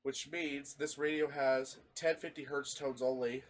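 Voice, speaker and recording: A man's voice: mid-range voice, fairly even intonation, unhurried, slight background noise. A young man casually reviewing his gadgets with friends, giggly and easily breaking into laughter. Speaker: video host, hobby radio collector.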